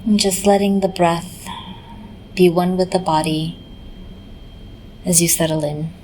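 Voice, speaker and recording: A woman guides a meditation in a gentle voice on the lower side. Her delivery is very even, with little rise or fall, and she leaves pauses inside her phrases. A light but steady background noise runs under the recording. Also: low-quality recording. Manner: gentle, unhurried, pausing mid-phrase. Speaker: meditation teacher.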